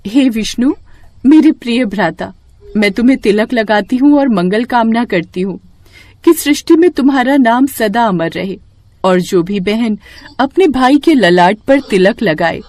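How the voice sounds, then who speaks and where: A woman voicing a gentle, loving goddess and devoted sister, with formal, affectionate speech, in an animated mythological story. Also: on the high side, a little slow. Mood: solemn blessing.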